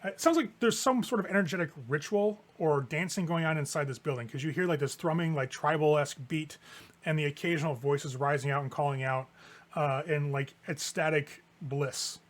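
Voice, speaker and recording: Male game master, higher register, conversational horror narration for a tabletop game. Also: fairly quick. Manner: casual, conversational improvised narration with swearing.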